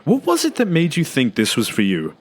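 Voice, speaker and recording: A man's voice: fairly high pitch, huge pitch swings, brisk. Lilting, courteous man. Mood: curious, probing. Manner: polite, tentative. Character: courteous, inquisitive family man interviewing a stranger. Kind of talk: naturalistic audio drama dialogue.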